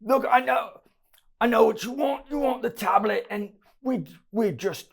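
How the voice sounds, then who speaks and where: Man putting on a frightened high-pitched lowlife thug. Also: measured pace, gravelly, heavily acted. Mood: fearful pleading. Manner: stammering, ungrammatical, pleading.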